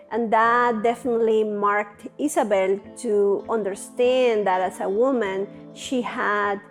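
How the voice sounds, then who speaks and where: A woman speaking admiringly about a friend's life in a tribute interview. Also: mid-range voice. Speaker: documentary interviewee.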